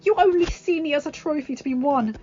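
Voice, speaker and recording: A woman doing a passionate, high-voiced young heroine. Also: moderate pace, close to an everyday voice. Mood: defiant. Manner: high, pleading and impassioned. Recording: dull lo-fi sound with music.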